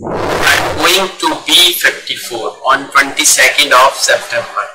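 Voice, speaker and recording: A man's voice: mid-range voice, unhurried, roomy sound, noisy background. A man in his fifties from India, teaching an online English lesson over a video call, patiently repeating and explaining. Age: 50s.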